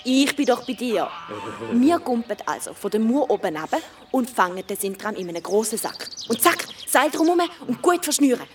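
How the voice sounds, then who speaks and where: Scheming medieval girl, Swiss German accent, bossy and confident, high register, children's audio drama. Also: big pitch swings, normal speed, far from the mic, music in the background. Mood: plotting. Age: child figure. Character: bold, scheming young medieval peasant girl. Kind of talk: children's radio play acting.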